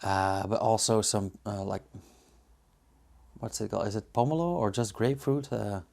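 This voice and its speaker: Low-voiced Belgian man, musing aloud. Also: normal speed, creaky, darkish tone. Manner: hesitant, musing, searching for words. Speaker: beer reviewer and host. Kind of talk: casual unscripted tasting commentary.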